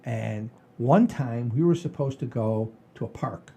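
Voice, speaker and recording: An older man hosting a podcast, telling stories in a folksy, rambling, animated way with a husky voice. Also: mid-range voice, a little slow. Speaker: podcast host. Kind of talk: casual chat and storytelling.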